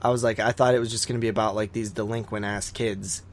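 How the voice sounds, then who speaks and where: A man's voice: fairly low pitch, level tone, fast talker. A man hosting a horror podcast, reviewing films in casual, slangy chat with plenty of swearing. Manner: slangy, swearing, frequent "like" and "dude". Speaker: horror film podcast host.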